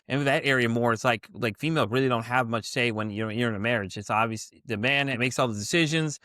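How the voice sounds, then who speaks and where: A man's voice: mid-range voice, a bit bright, dry recording, studio quality. A man hosting a podcast interview, talking in a rambling way, full of "like" and "you know". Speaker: podcast host.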